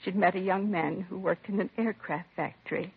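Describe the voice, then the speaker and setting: A woman's voice: mid-range voice, fairly even intonation, moderate pace. An actress playing a composed middle-aged mother of a slain son in a 1950s radio crime drama, answering plainly and with restraint. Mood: confiding. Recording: vintage 1950s radio, narrow husky sound.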